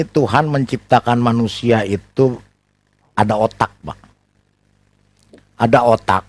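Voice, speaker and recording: A man's voice: mid-range voice, no echo. Insistent man pressing questions in debate. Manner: insistent, probing, repeats himself for emphasis. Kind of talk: heated religious debate, unscripted.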